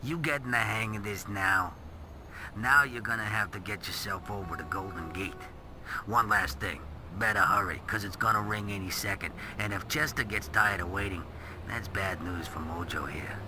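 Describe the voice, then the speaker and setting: An actor playing a taunting street-crook kidnapper in a video game, talking in slangy threats. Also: male voice, fairly low pitch, moderate pace, background noise, lightly acted. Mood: cold threatening. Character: menacing, taunting street-crook kidnapper making ransom demands.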